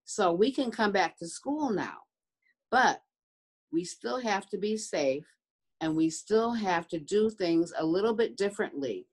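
A woman in her sixties, a preschool educator, explaining things simply to young children in a deliberate, emphatic, repetitive way. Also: medium pitch, animated, husky. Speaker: early learning center educator. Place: room at home, indoors.

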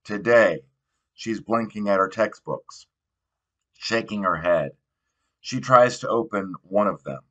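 Male audiobook narrator, slightly low pitch, bright forward tone, reading teen fiction. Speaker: audiobook reader. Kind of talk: read narration of a young adult novel.

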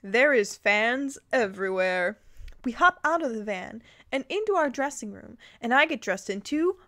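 Female reader-commentator, high-pitched and expressive, casual amused asides, very close dry sound. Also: moderate pace, no echo. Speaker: host reading fan fiction aloud with commentary. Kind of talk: informal reading with reactive asides.

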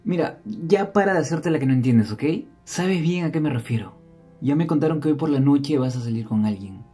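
Guy playing earnest stubborn young man scolding someone, dark husky voice, music behind. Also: medium pitch, animated, moderate pace. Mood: exasperated, earnest. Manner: insistent, admonishing. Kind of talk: ASMR audio drama acting.

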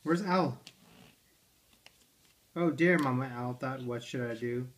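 A man's voice: medium pitch, slow. A father reading picture books to his toddler at home, playful and coaxing, asking her questions about the pages. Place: living room armchair at home.

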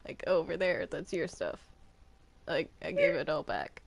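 A person chatting casually while playing a video game with others, in a hesitant, halting way. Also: medium pitch, big pitch swings, vocal fry, slightly rough. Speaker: video game player in a group roleplay.

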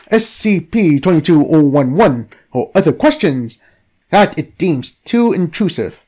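Narrator reading out a formal file, pitch moves around a lot. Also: a little mellow. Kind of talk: scripted documentary-style narration.

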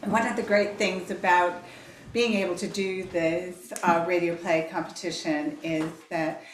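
A woman's voice: lowish voice, fairly even intonation, a little slow. A middle-aged woman with a British accent presenting an event as its host. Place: indoor parlour with fireplace. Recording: roomy, noisy parlour pickup.

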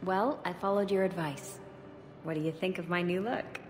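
A woman playing a gentle young woman in hiding, in video game dialogue. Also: fairly low pitch, normal speed, faint music behind, close to an everyday voice. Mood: wistful.

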